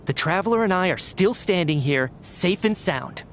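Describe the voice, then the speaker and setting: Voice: mid-range voice, expressive, moderate pace, slight background noise, close to an everyday voice. An actor playing a defiant young male survivor in a video game, challenging and bitter in a pointed way. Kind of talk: scripted video game acting.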